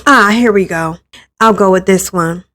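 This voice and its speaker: Expressive woman reading a story aloud. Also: mid-range voice, normal speed. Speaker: visual novel player reading aloud. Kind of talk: expressive first-person story narration.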